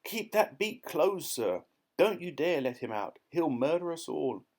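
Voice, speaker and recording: A man's voice: mid-range voice, a little slow, voice pushed up, close to an everyday voice. A man voicing a pompous old duke who bellows his lines. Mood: panicked. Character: blustering old male aristocrat duke.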